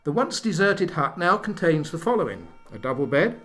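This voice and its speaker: A man's voice: on the high side, big pitch swings, moderate pace, a bit bright, soft background music. A man narrating a comic radio play from a script in a mock-solemn manner.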